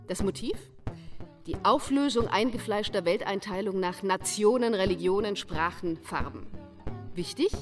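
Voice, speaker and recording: A woman's voice: mid-range voice, moderate pace, lightly acted. Actress as keen persuasive woman in radio play, bit distant, clipped listy phrases, faint music under it. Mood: emphatic, intent. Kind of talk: German radio play monologue.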